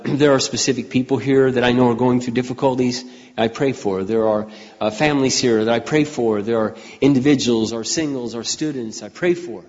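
An older man preaching a folksy, conversational sermon as pastor, often clearing his throat and restarting his sentences. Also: medium pitch, darkish tone. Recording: dull, muffled sound with long hall reverb.

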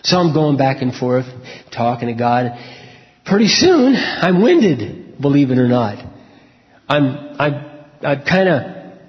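A man preaching a sermon and telling personal stories in a frank, conversational way, often clearing his throat. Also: mid-range voice, sing-song, takes it slowly, long pauses. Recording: echoey hall, dull lo-fi sound.

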